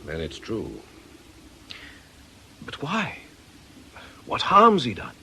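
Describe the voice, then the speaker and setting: Worried earnest guy, middle aged, questioning, very varied pitch, radio play. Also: huge pitch swings, extremely slow, creaky, sharp tone, a little hiss or hum, full character voice. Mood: probing, alarmed. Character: concerned, probing middle-aged man confronting an old flame. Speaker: actor in a radio drama. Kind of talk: radio drama acting.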